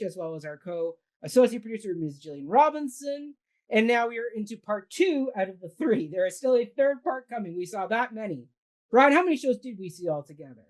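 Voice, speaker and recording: A woman hosts a casual talk show about theatre. She sounds upbeat and keeps things moving with quick yeses, at an everyday middle pitch. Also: darkish tone. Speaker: host. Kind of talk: casual podcast hosting.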